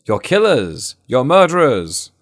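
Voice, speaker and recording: Fanatical male stevedore villain played by a narrator, pompous, loud, thundering righteous declamation for audio fiction. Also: mid-range voice, sing-song, slow pace, pitched above the performer's own voice. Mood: angry accusing.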